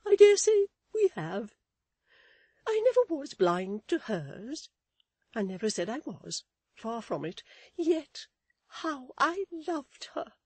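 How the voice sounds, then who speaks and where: A woman's voice: on the high side, big pitch swings, a little slow, darkish tone, breathy edge, no echo, pitched above the performer's own voice, exaggerated voice. A woman voicing a pompous, self-pitying matronly lady in a storybook reading, with emphatic, declamatory delivery and theatrical lamenting. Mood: tearful self-pity. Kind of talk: dramatized Victorian novel reading.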